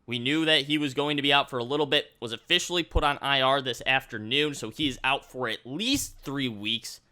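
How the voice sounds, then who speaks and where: A man's voice: medium pitch, brisk, sharp tone. A man presenting a fantasy football update, mixing news with his own commentary.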